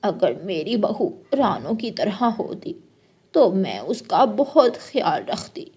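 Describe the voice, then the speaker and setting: A woman's voice: mid-range voice, big pitch swings, slow pace, darkish tone, slightly rough, heavily acted. A woman voicing a blunt, gossipy older neighbour mother-in-law bird in a children's cartoon, complaining and giving reproachful advice. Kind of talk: Hindi children's cartoon story voice acting.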